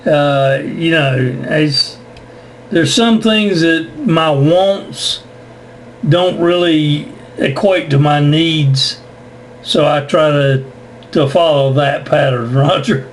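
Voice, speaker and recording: A man's voice: a little high, slow, poor audio. A man with an American accent in casual chat as an amateur radio operator, often repeating words and phrases. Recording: ham radio contact with faint noise.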